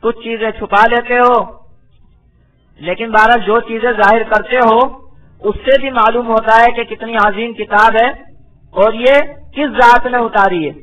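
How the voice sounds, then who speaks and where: High-pitched man teaching scripture, bright voice. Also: rough recording. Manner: didactic, repeats phrases, alternates recitation with explanation. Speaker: Islamic scholar, Quran teacher. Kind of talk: religious lecture, verse-by-verse translation and explanation.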